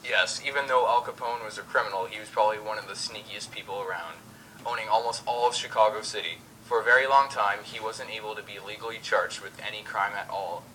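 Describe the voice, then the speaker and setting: A man's voice: on the low side, fairly even intonation, fairly quick, pushed and bright, slight background noise, low-quality recording. A young man reading as co-host of an amateur mock 1920s radio show. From Canada.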